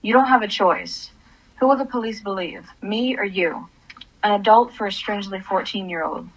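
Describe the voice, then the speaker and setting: A woman's voice: mid-range voice, normal speed, breathy edge, dull audio, close to an everyday voice. An actress playing a grief-crazed, vengeful mother in a radio play, intense and commanding. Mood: cold, menacing. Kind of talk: dramatic radio play acting with narration.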